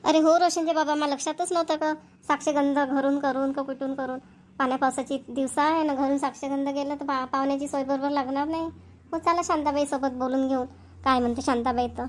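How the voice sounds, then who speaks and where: An insistent, dutiful village wife and mother from a cartoon comedy, acted by a woman. Her voice is high and she rattles along quickly and chattily in a Varhadi Marathi accent. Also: little pitch movement, a little hiss or hum, close to an everyday voice. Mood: chatty.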